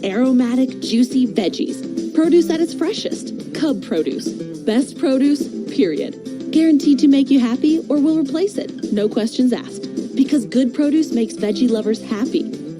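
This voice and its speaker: Lady doing upbeat ad read, high voice, music playing behind. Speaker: commercial voice-over. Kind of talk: scripted upbeat grocery ad read.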